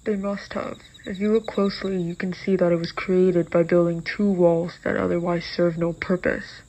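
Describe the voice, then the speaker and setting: A woman playing a composed, analytical man who explains his suspicions in a matter-of-fact, deliberate way in a scripted horror drama. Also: lowish voice, level tone, darkish tone, poor audio. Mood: confident, analytical. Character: male expert friend Harry, composed, analytical, suspicious. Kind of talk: scripted phone-call dialogue in horror drama.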